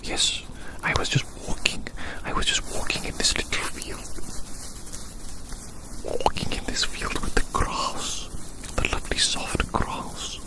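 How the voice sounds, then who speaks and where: An ASMR performer speaking in a breathy, unvoiced whisper, softly musing to the listener and gently repeating phrases in a roleplay. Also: rough recording. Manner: hushed, slow repetition of phrases, gently musing. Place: outdoors.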